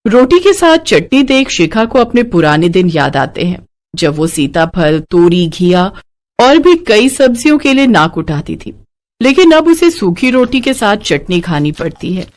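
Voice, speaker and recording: A woman's voice: mid-range voice, big pitch swings, a little slow. A woman narrator reading a scripted moral bedtime story.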